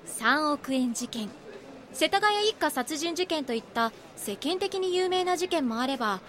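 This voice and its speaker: A woman's voice: high-pitched, animated, unhurried, fairly bright tone. A woman playing an ambitious, insistent young rookie magazine reporter in a Japanese voice drama. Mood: enthusiastic pitching. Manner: earnest, insistent.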